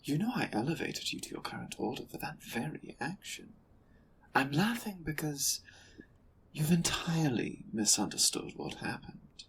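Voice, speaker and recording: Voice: mid-range voice, very animated, gravelly, slight background noise. Actor as wry, swooping sci-fi Eminence. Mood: wry, condescending. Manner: measured, theatrical, superior, wry. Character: The Eminence, amused, condescending superior of a sci-fi order. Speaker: guest voice actor. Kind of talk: audio roleplay acting.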